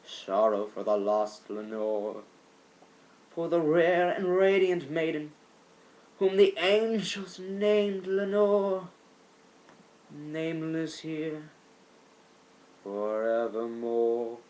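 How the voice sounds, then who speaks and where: A man with a fairly high voice reads poetry as an amateur performer. His delivery is slow and theatrical, with drawn-out words. He shifts between hushed tones and loud, emphatic ones. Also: rough recording. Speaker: amateur reader of a poem. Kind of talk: dramatic poetry reading.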